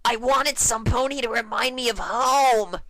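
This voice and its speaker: A man's voice: very high-pitched, measured pace, sharp tone, voice pushed up, exaggerated voice. A man voicing a brash, pleading young female pegasus fighter pilot in a dramatic fan fiction reading. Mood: insistent, emotional.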